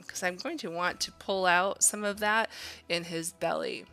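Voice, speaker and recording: A woman's voice: medium pitch, sharp tone. A woman art instructor giving an informal, chatty drawing demonstration, thinking aloud in an encouraging way.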